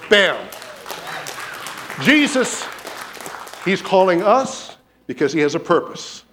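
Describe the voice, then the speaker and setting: A man's voice: high-pitched, slow, a faint crowd, slight background noise. A man preaching a sermon in a church, telling stories and acting out what people said. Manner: animated storyteller, acts out quotes. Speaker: pastor. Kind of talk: sermon with storytelling. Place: church sanctuary.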